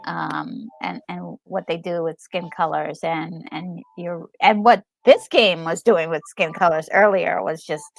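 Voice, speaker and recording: Casting: woman co-host, marked vocal fry, hesitant casual chat over soft game music. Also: on the low side, steady tone, a little slow, few pauses, low-quality recording. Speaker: co-host reacting to gameplay. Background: faint game music.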